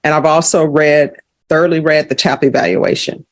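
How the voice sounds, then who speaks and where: A woman presiding as judge in a courtroom, speaking in a measured, procedural way. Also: deep voice, fairly even intonation, dry recording.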